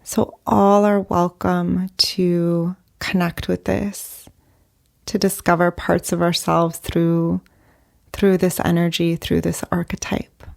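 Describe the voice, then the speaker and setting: Female meditation guide, soft and soothing, dark mellow tone, unhurried delivery in short, spaced-out phrases. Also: lowish voice, level tone, gravelly. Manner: gentle, unhurried, with long pauses between short phrases.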